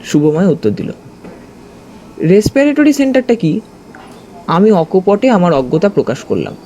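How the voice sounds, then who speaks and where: An actor playing a detective's curious companion, narrating the story in the first person with expressive storytelling. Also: male voice, sits high, normal speed, a little hiss or hum, rough recording. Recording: music under the voice.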